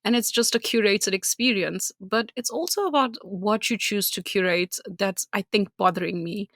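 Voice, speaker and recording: A woman's voice: medium pitch, moderate pace, fairly bright tone, no echo, clean studio sound. A woman from South Africa with a South African accent, co-hosting a podcast in candid casual chat, laughing often and ranting freely. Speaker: podcast co-host.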